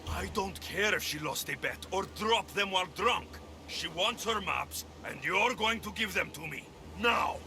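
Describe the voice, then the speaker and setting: A man's voice: high-pitched, lively intonation, measured pace, background noise. A man playing a tough, sardonic mercenary adventurer in a video game, with blunt delivery. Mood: angry. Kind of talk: video game cutscene acting.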